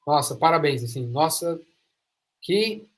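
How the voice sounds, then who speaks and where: A man's voice: a little high, expressive, a little slow. A Brazilian man hosting an interview podcast, talking emphatically and repeating phrases for stress. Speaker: podcast host. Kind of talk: enthusiastic interview chat.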